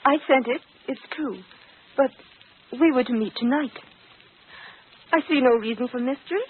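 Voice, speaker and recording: A woman's voice: sits high, normal speed, natural voice. An actress playing a proud, resolute young woman in mourning, earnest and firm, in 1940s radio style. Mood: candid, hurt. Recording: tinny, noisy old radio recording.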